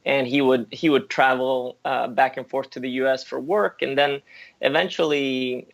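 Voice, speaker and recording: A man born in the US and raised in Spain, answering interview questions in a thoughtful way, with many pauses and restarts. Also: medium pitch, steady tone, gravelly. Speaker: interview guest.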